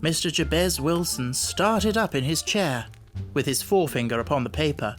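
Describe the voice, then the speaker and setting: A man narrating a classic story as an audiobook reader, in a measured, literary storytelling delivery. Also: fairly high pitch, lively intonation, soft background music.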